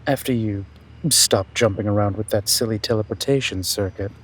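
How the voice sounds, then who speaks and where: A man playing a haughty, calculating young prince in a fantasy audio drama, speaking in a pompous, deliberate, menacing way. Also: fairly low pitch, slightly rough. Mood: cold, condescending. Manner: pompous, deliberate, drawn-out delivery. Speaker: voice actor.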